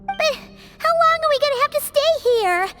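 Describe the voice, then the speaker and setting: Fairy sidekick, very high squeaky voice, bouncy pitch, fretful, game music behind. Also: female voice, lively intonation, moderate pace. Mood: worried. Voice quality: squeaky, refers to herself by name. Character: tiny floating female fairy companion, excitable and fretful. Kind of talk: video game cutscene dub. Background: soft game music.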